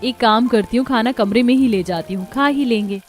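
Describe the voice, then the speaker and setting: A woman's voice: on the high side, fairly quick, soft background music, lightly acted. A woman playing a meek, hopeful young bride in a cartoon story, speaking politely and plaintively.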